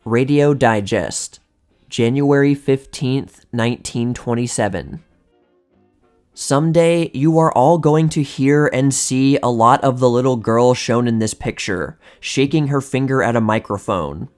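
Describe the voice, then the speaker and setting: A man reading vintage magazine articles aloud as a scripted narration, in a measured, precise manner. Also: medium pitch, darkish tone, soft background music.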